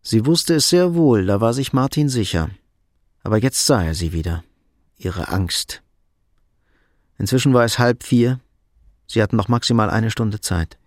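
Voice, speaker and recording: A man with a fairly low voice serves as narrator of a radio drama. He reads literary prose in a sober, measured storytelling manner. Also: lively intonation, no echo, clean studio sound.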